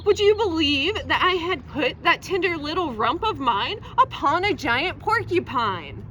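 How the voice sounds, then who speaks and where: A woman reading a children's poem aloud in a theatrical, expressive storytelling manner, recorded outdoors. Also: sits high, measured pace. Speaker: library reader presenting a poem. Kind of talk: animated children's poetry reading. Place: outdoors outside a public library. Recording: outdoor recording with faint traffic noise.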